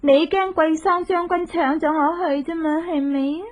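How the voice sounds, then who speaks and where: An actress playing a cook's scheming, coquettish wife in a Cantonese radio drama, wheedling and playful, with a Hong Kong accent. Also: female voice, very high voice, fairly even intonation, moderate pace. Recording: muffled narrow-band old radio recording.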